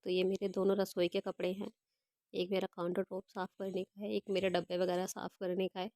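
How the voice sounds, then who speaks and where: A young woman vlogger with a hoarse, gravelly voice from a cold and a dark, mellow tone. She talks in a flat, even way, explaining her kitchen chores step by step. The recording is dry and close. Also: mid-range voice, fairly quick, dry recording. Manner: chatty, explains her daily routine step by step. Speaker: home vlogger. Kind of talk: casual voice-over narration of home chores.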